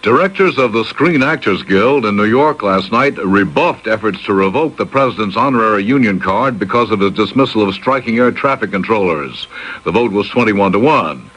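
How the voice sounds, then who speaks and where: A man's voice: lowish voice, animated, normal speed, a bit bright, poor audio. An American man anchoring a radio newscast, reading scripted news.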